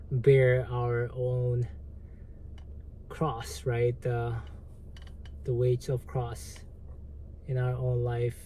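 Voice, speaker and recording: A man with a Korean accent, a native Korean speaker, hosting a livestream and answering viewer comments in a halting, hesitant way with lots of fillers. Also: mid-range voice, mellow, a little hiss or hum. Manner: slow, halting, many fillers and restarts. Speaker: livestream host.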